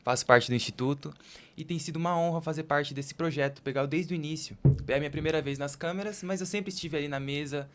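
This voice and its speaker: A man's voice: on the high side, quick pace. A man with a Brazilian accent chatting casually as a guest on a podcast. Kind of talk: casual chat. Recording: some background noise.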